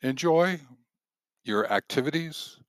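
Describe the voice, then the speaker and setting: A man narrating nature walking activities as a host, gently guiding in measured, deliberate phrases with pauses. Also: a little high, animated, no room sound, clean studio sound.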